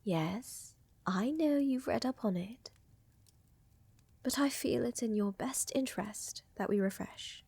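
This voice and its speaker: A woman playing a flirtatious, gently dominant vampire countess in an intimate ASMR roleplay, breathy and often whispering, with teasing, coaxing, formal wording. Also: a little high, slow, no room sound, full character voice. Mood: concerned, serious.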